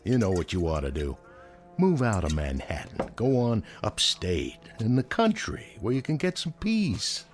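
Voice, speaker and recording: An actor playing a folksy, obliging big-city shopkeeper in a radio drama, chatty and colloquial. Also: male voice, lowish voice, sing-song, measured pace, faint music behind. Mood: soothing, chatty.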